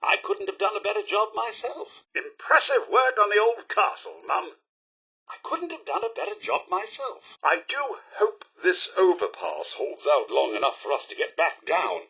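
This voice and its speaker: High, animated British robot butler. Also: male voice, normal speed, full character voice. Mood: deferential, then fretful, wry. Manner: prim, formal, theatrical, crisply enunciated. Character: fussy, loyal, polite male robot butler. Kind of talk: video game character voice lines.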